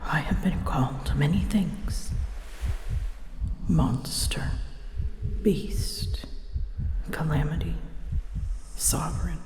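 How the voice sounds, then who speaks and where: A woman playing an ancient, proud, wounded female dragon in an ASMR roleplay, speaking in a breathy, often whispered voice with grave, halting pauses. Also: deep voice. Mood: wounded, vulnerable. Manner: slow, halting, grave storytelling with dramatic pauses. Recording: echoey, long reverb, faint noise.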